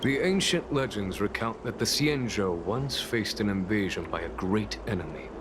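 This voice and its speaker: An actor playing a grave, composed general who speaks in a formal, measured, solemn way in a scripted game. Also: male voice, lowish voice, expressive, noisy background, natural voice. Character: grave, composed male general narrating old legends. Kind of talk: scripted dramatic game monologue.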